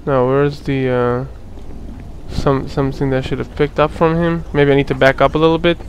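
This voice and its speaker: A man hosting a let's play, chatting casually over gameplay, hoarse from a sore throat, laughing often. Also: mid-range voice, flat delivery, moderate pace, a little hiss or hum.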